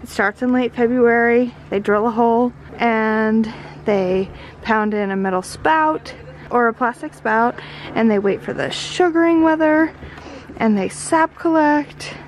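High-voiced young woman vlogging enthusiastically. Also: a little slow. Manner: enthusiastic, exclamatory. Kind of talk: casual travel vlog narration. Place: farm sugarhouse and outdoors. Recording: noisy on-location camera audio.

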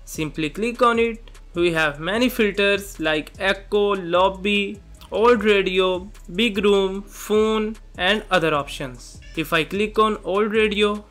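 A man presenting a software tutorial, narrating step by step in simple instructional phrases. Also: high voice, slow. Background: background music.

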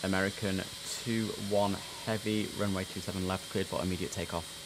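Low-voiced man calling air traffic. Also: fairly even intonation, a little fast. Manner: crisp controller calls mixed with chatty asides. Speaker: streamer playing air traffic controller. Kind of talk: gameplay commentary with radio phraseology. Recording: noisy game stream audio.

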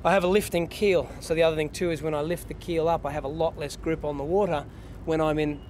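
A man's voice: on the high side, fairly even intonation, quick pace, a little mellow, a little hiss or hum. A man in his forties with an Australian accent, a yacht captain casually explaining his work. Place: outdoors on a yacht deck and dockside.

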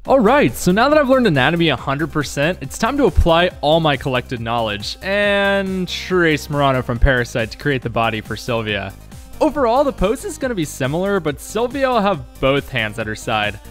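A young man narrates a scripted vlog in a fairly high voice. His delivery is lively and wry, poking fun at himself. Music plays behind him. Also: normal speed. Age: young adult. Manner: wry, self-deprecating humour. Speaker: video creator and game developer.

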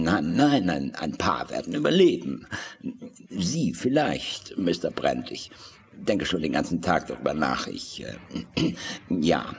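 An actor playing a mad villainous professor in a German audio drama, pompous and menacingly polite, clearing his throat as he talks. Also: deep voice, full character voice.

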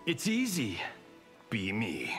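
An actor playing a flippant, boastful American Hollywood action star trading slangy banter in a fighting video game. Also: male voice, lowish voice, huge pitch swings, slow, exaggerated voice. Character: cocky wisecracking male Hollywood action movie star. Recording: game music behind.

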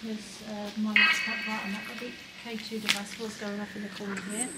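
A woman's voice: mid-range voice, level tone, brisk. A young woman investigating an abandoned farm at night, politely asking spirits to answer her, recorded on a handheld camera outdoors. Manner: polite, coaxing questions to spirits. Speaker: paranormal investigator. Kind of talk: casual chat during a ghost hunt. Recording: echoey, loud outdoor noise.

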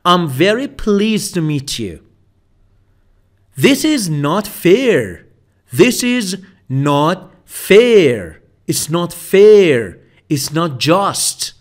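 A man with a high, very animated voice teaches everyday English phrases. He speaks slowly and drills each expression, repeating it for listeners to copy. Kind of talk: slow spoken English lesson with repeated phrases. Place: voice-over for slide video.

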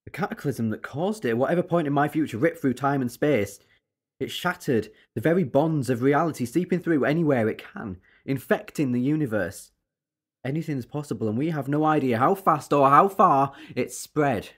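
A woman playing a brisk, eccentric, exasperated time-travelling alien adventurer in a sci-fi audio drama, with a teasing, emphatic manner. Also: very deep voice, expressive, slightly rough, heavily acted. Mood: grave, urgent.

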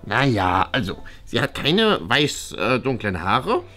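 A woman's voice: rumbling low, very animated, takes it slowly, fairly bright tone, full character voice. A woman playing a prim, precocious schoolboy in a children's comedy skit, pedantic and fussy, tripping over words. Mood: stiff, affronted. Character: prim, precocious schoolboy, know-it-all model pupil.

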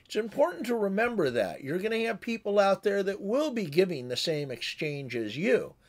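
A man in his sixties giving a conversational, instructive amateur radio tutorial as the presenter. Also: a little high. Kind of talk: explanatory tutorial with demonstrated radio calls.

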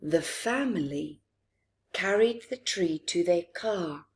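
A middle-aged woman reading a story aloud to young children, expressively, with dramatic pauses and chatty asides. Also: lowish voice, expressive, slightly rough. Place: living room, indoors.

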